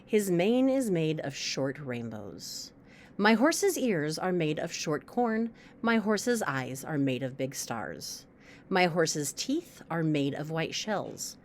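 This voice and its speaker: A woman reciting a poem aloud with a lilting, expressive delivery. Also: deep voice, slow, a little hiss or hum.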